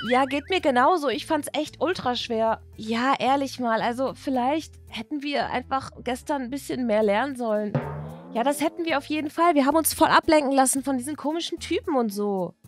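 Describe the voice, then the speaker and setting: Woman as teenage girl, high-pitched, excitable, anxious, dramatic slangy chatter, toy-world story. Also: lightly acted. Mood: dejected. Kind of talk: acted children's toy-video story.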